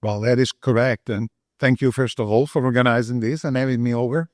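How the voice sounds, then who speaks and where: A middle-aged man from Italy with an Italian accent, speaking as a researcher on a panel, earnest and pausing often to find his words. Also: medium pitch, steady tone, darkish tone, no echo, clean studio sound. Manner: earnest, searching for words with many uh fillers. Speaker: panelist, brain health researcher. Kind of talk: panel discussion remarks.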